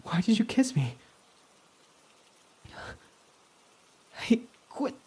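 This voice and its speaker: A young man playing a guarded male villain who confesses his love, speaking softly and often sinking to a whisper in a romantic roleplay. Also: very high-pitched, big pitch swings, moderate pace, breathy edge, heavily acted. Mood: flustered. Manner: soft-spoken, often dropping to a whisper. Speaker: ASMR roleplay voice actor.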